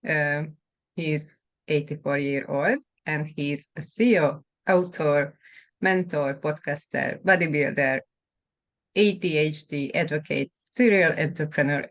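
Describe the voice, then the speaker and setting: Slow-speaking woman podcast host, very low voice. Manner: slow and friendly, laughs easily. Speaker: podcast host, health and fitness coach. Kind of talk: interview hosting.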